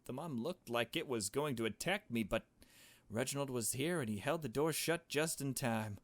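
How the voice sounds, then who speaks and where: A man reading a visual novel aloud as a let's player, in hushed storytelling narration with casual asides. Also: mid-range voice, normal speed, no echo.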